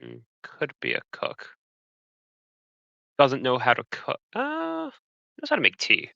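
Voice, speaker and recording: A man's voice: mid-range voice, wildly swooping pitch, slow pace, gravelly, sharp tone, dry recording. A man playing a tabletop game, chatting casually about what his character does, on a slightly dull, dry recording. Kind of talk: casual game chat, speaking for his character in third person.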